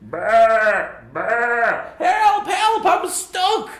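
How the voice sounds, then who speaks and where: A man voicing a greedy, easily panicked sheep in a bedtime story, with drawn-out bleating cries. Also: very high-pitched, wildly swooping pitch, a bit bright, reverberant, voice pushed up, exaggerated voice. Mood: panicked, pleading.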